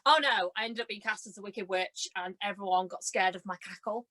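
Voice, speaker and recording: A young woman chatting casually as a guest, telling stories in an animated, giggly and self-deprecating way, acting out bits of dialogue. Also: medium pitch, brisk. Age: young adult. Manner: chatty, animated storyteller who acts out reported dialogue. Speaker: podcast guest, stage and radio drama performer. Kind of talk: casual chat, telling anecdotes.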